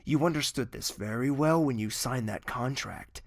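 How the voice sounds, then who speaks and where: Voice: mid-range voice, normal speed, gravelly, no room sound, clean studio sound. An actor playing a stern royal ruler in a fantasy audio drama, commanding and curt, expecting obedience. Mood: imperious, threatening. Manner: authoritative, curt, imperious.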